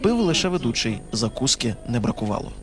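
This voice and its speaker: A man's voice: medium pitch, sing-song, fast, over music. A man reading scripted narration as a television news reporter.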